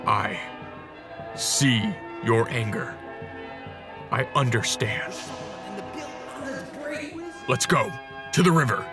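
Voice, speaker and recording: Commanding vampire leader, grave, earnest, slow delivery, radio drama, music underneath. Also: male voice, medium pitch. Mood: grave, calm. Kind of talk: serialized radio drama acting. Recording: loud music bed behind voice.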